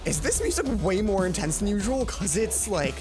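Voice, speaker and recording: A woman hosts a let's play of a visual novel. She reads the narration aloud and breaks into casual asides. Game music is clearly present under her voice. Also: mid-range voice, big pitch swings, moderate pace.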